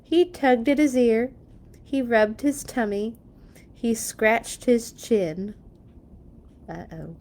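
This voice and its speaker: Slow-paced woman reading a children's storybook. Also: on the high side. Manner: expressive, playful asides to young listeners. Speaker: children's read-along storyteller. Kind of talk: storybook read-aloud with animated asides.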